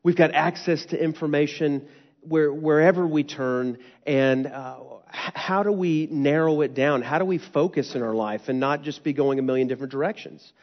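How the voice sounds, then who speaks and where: A man's voice: on the high side, moderate pace. A young male pastor preaching with a stuffy, nasal voice from a lingering cold. He talks to the congregation in an earnest, casual way. The sound is a little muffled, with a long reverb trailing through the hall. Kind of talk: sermon. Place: church hall.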